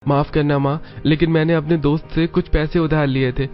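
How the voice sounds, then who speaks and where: Man voicing kind dutiful young husband, cartoon story, raised pitch, lo-fi sound, background music. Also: little pitch movement, brisk, natural voice. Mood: earnest. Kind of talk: Hindi cartoon story dialogue. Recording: dull lo-fi sound, music behind.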